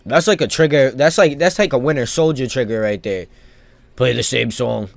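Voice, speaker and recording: A male video game streamer gives casual live commentary at a middling pitch. He talks in loose slang, thinking out loud and wandering from one thought to the next. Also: normal speed, vocal fry, no room sound.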